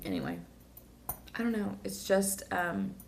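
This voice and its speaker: Slightly hoarse woman with a gravelly voice. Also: mid-range voice, wildly swooping pitch, measured pace, darkish tone. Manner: rambling, emotional, many fillers and restarts. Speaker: vlogger. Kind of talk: casual personal video diary.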